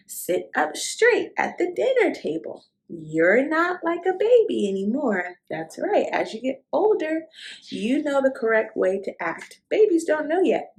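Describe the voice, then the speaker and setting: Animated, high-pitched woman reading to children. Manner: encouraging, instructive, asks the listener questions and affirms. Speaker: host of a children's read-aloud show. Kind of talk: picture book read-aloud with asides to young children.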